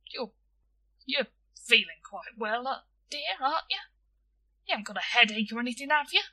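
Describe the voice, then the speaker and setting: A woman's voice: a little high, lively intonation, moderate pace, pushed and bright, dry recording, recorded from a distance, pitched above the performer's own voice, exaggerated voice. A woman voicing an anxious, doting mother in a story, hesitant and concerned.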